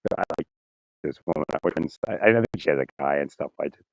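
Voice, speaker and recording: A man with a slightly hoarse, raspy voice talking in a rambling, halting way, repeating words, chuckling often and asking "right?" as he speaks into his phone outdoors. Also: a bass voice, animated, a little mellow, dry recording, poor audio. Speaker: vlogger. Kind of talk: rambling casual monologue. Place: outdoors in winter.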